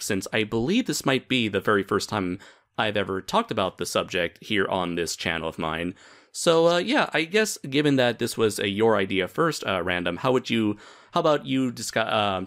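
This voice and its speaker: Low-voiced man hosting, full of fillers. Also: fairly bright tone. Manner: hesitant, many filler words. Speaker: host. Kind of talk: hosting a discussion video.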